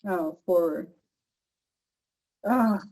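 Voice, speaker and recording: A woman's voice: lowish voice, very slow, a little mellow, rough recording. Casting: woman, East African accent, earnest advocate delivering a partly read personal speech. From Uganda. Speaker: advocate speaker sharing a personal story.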